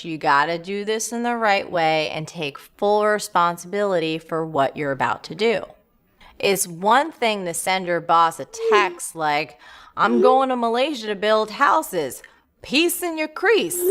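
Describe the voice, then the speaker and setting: Lively, emphatic woman giving advice. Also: mid-range voice, clear, resonant tone. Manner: upbeat, emphatic, playful asides. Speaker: advice host answering a letter. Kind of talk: reading a letter and giving advice.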